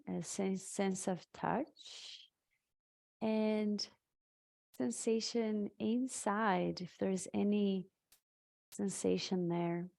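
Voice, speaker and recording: Very slow, creaky-voiced woman guiding meditation. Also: medium pitch, darkish tone. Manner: soothing, unhurried, halting with long pauses. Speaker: meditation guide. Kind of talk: live guided meditation.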